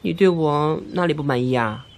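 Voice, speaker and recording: A man's voice: fairly high pitch, normal speed, close to an everyday voice. A man playing a naive, good-natured young man, blunt yet hesitant, in an amateur audio drama. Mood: earnest, blunt. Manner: hesitant, guileless. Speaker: amateur voice actor.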